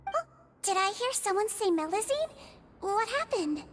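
A woman voicing a small, caring, girlish creature nurse in a video game, gentle and attentive. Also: extremely high pitch, very animated, moderate pace, noisy background. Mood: worried. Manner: gentle, attentive, politely instructive. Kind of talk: video game character dialogue.

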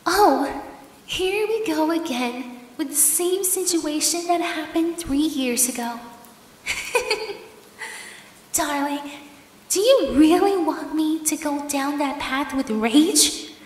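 A woman playing an obsessive, menacing ex-girlfriend in a roleplay audio drama, cooing endearments in a drawn-out, mocking, sugary way. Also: high voice, animated. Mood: threatening. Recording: roomy echo, long reverb, faint noise.

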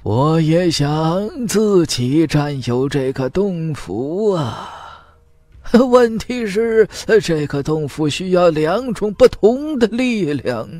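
A man voicing a scheming, wheedling old male cultivator with a croaky, drawn-out delivery for a fantasy audiobook. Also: on the high side, expressive, mellow, dry recording, voice pushed up. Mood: sly wheedling.

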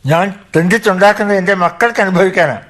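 A man's voice: high voice, fast talker, lightly acted. A man with a Kerala accent playing a bitter, sardonic middle-aged village father in a radio drama. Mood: bitter, scornful. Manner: sardonic, scornful. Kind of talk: Malayalam radio drama acting.

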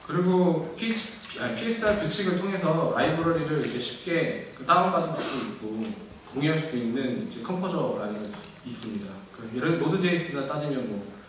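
A man's voice: fairly high pitch, expressive, distant mic, background noise. Man giving a keynote in an echoey hall. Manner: measured, many fillers and restarts. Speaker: conference keynote speaker. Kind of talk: technical conference talk. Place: large dark auditorium.

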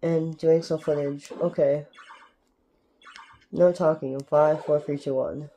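Rambling young man with a very dark voice. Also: fairly high pitch, steady tone, slow, slight background noise. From Canada. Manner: rambling, keeps asking "alright?". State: sore, strained throat. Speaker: gaming YouTuber. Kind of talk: casual gameplay commentary.

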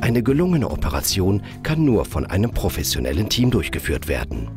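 A man reads a formal scripted voice-over in a measured way. His voice sits very low yet moves a lot in pitch. Guitar music plays clearly behind him. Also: wildly swooping pitch. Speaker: promo narrator.

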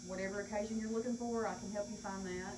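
A woman's voice: medium pitch, fairly even intonation, fast talker. A woman in her thirties with a Southern American accent, a boutique owner answering questions plainly in her large store, filmed on a phone a few steps away. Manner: plain, matter-of-fact answers. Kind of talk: casual live interview. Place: large indoor clothing boutique. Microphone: phone camera a few steps away. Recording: store hum, audible background noise.

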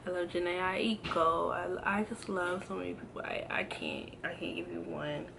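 A woman in her early twenties chatting casually to camera, answering a list of questions in a relaxed, hesitant way with many pauses and restarts. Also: lowish voice, gravelly, noisy background. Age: 20s. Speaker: vlogger. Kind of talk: casual tag video chat. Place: indoors at home, in front of a backdrop. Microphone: camera framed close, seated.

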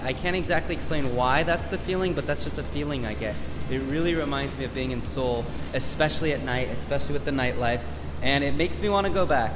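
An enthusiastic American man in his thirties talks to a handheld camera as he walks through an expo site at night. His voice sits at an ordinary pitch, and music plays clearly behind him. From United States. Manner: upbeat, chatty, enthusiastic. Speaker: travel vlogger. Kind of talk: travel vlog narration and on-camera talk. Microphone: phone or camera held at arm's length.

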